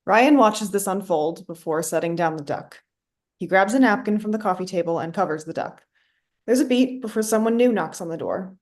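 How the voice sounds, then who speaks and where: A woman's voice: medium pitch, expressive, moderate pace, a little mellow. A woman reading stage directions as scripted narration for a play.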